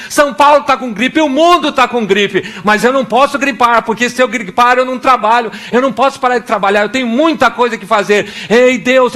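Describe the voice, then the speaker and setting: High, bright-voiced Brazilian pastor. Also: male voice. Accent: Brazilian Portuguese. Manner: animated, acts out little scenes and prayers with voices. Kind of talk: sermon.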